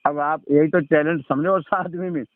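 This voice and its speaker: A man's voice: on the high side, fairly even intonation, moderate pace, dry recording. A man in an informal phone conversation, explaining didactically and repeatedly asking the listener to agree. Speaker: religious storyteller. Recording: narrow-band phone call recording.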